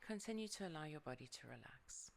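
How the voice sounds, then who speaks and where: A yoga nidra guide leading a calm relaxation meditation, speaking softly and often sinking into a whisper. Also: mid-range voice, quick pace, creaky, slight background noise.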